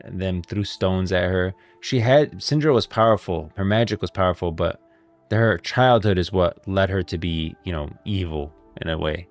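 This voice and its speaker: A man's voice: low-pitched, creaky. A man giving casual livestream lore commentary as a streamer, rambling informally and thinking aloud.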